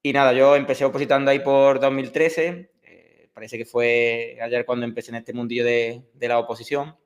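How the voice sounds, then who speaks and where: A man's voice: medium pitch, little pitch movement, moderate pace. Male teacher, 30s, Andalusian accent, hesitant, casual lecturing tone. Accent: Andalusian Spanish. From Córdoba, Spain. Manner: hesitant, many fillers and restarts. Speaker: exam prep teacher. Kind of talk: online course introduction.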